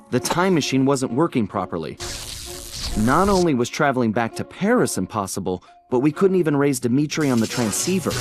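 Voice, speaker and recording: An adventurous, easygoing thief voiced by a man tells his story in a video game cutscene with music playing behind him. His delivery is wry and expressive, rising and falling widely and pausing for dramatic effect. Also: medium pitch, natural voice. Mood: wry concern. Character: smooth-talking male cartoon thief, adventurous, easygoing gang leader. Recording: background music under the voice.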